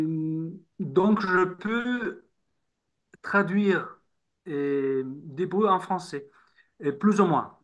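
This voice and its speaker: Halting man. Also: fairly high pitch, a bit bright. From Israel. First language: Hebrew. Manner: halting, repeats words while searching. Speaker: novelist guest. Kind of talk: interview answers.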